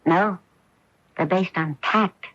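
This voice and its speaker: An actress playing a sweet, self-assured and determined young wife in a radio mystery drama. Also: female voice, on the low side, slow, fairly bright tone, no echo. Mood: playful, candid. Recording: dull lo-fi AM radio broadcast.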